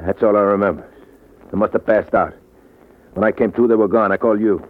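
Actor as guarded bookie on tinny old radio. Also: male voice, on the low side, fairly even intonation, fairly quick, close to an everyday voice. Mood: weary. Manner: terse, guarded. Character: wary, tough, battered male bookmaker. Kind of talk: vintage radio crime drama acting.

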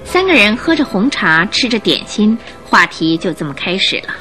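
A woman narrating a detective radio drama as a storyteller, in a scripted, expressive Mandarin delivery. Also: medium pitch, normal speed, soft background music, low-quality recording.

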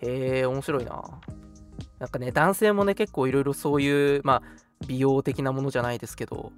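A man's voice: mid-range voice, brisk. A man in his early twenties co-hosting a radio show in casual chat, often chiming in with agreement. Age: early 20s. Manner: frequent agreeing backchannels. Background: background music.

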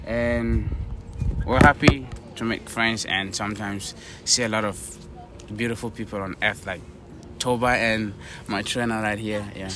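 A man's voice: lowish voice, steady tone, measured pace, noisy background. A young man from Cameroon, a podcast guest talking earnestly in casual chat with many "like" fillers.

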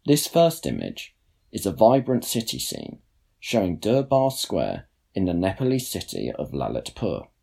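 A young man narrates a written piece in a low, creaky voice. His delivery is measured and formal, with careful phrasing and plenty of rise and fall in the intonation. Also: wildly swooping pitch, dark tone. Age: young adult. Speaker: student writer reading own blog post. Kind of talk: scripted narration, read aloud.

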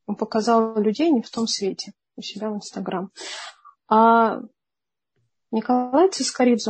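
A woman's voice: a little high, a little mellow, husky. A woman hosting a casual podcast conversation, thinking aloud and correcting herself as she goes.

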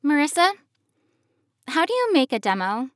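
A woman co-hosting an instructional podcast, explaining in a measured, emphatic, conversational way. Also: high voice, sing-song, no echo, studio quality, faint music behind. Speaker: podcast co-host, professional voice actor.

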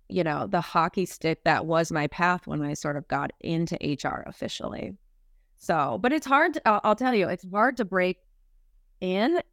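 Low-voiced American woman chatting informally. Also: no echo, clean studio sound. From Minnesota, United States. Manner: informal, peppers talk with "like" and "you know". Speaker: podcast guest, human resources executive. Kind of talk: casual career interview, conversational storytelling.

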